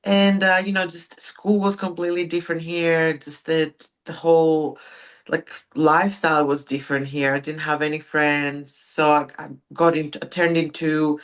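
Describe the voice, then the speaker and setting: A young woman from Greece, a pro bodybuilder speaking relaxed and soft-spoken as a podcast guest. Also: low voice, normal speed. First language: Greek. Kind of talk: casual interview.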